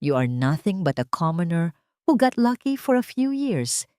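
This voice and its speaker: A woman's voice: lowish voice, big pitch swings, normal speed, dark tone, clean tone, no room sound, clean studio sound. A woman voicing a haughty older mother-in-law with a sneering, commanding way of talking in an audio story. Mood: cold contempt.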